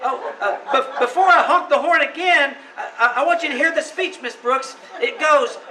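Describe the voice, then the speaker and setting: An actor playing an eager, dim teenage schoolboy who stammers and gushes in an old-time radio comedy. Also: male voice, very high-pitched, measured pace, recorded from a distance, noisy background. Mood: eager, awkward. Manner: stammering, gushing, naive.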